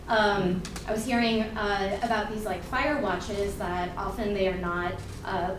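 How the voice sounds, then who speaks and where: A woman in the audience asking a long question loudly, with many fillers. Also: mid-range voice. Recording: echoey hall, far from mic, noise.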